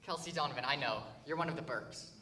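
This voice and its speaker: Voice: medium pitch, quick pace, pushed and bright, lightly acted. A teenage actor playing a shy, awkward male mathlete who talks hesitantly in a staged reading. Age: teens. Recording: distant, with faint background noise.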